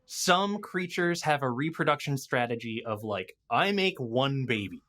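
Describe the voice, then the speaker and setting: A man in a casual podcast discussion, stopping and restarting as he thinks out loud, with animated asides. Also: mid-range voice, unhurried. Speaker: podcast guest.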